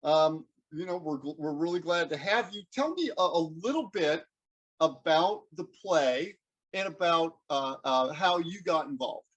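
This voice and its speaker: A man with a somewhat high voice hosts a conversational interview. His delivery is halting, with words repeated as he works toward each point. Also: expressive, fairly bright tone, dull audio. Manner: halting, repeats words while forming questions. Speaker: interview host.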